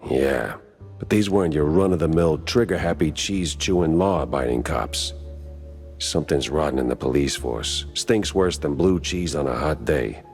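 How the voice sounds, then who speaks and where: An actor playing a weary, wisecracking hardboiled mouse detective in a noir video game, deadpan and world-weary. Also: male voice, very deep voice, moderate pace, slightly rough, soft background music, full character voice. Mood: grim, wry.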